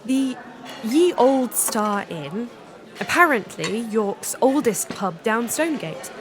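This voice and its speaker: A woman's voice: a little high, very animated, slow, some chatter behind. An actress playing a sheltered, proper young Victorian lady with an upper-class English accent, formal and hesitant, in a period radio drama. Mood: wondering.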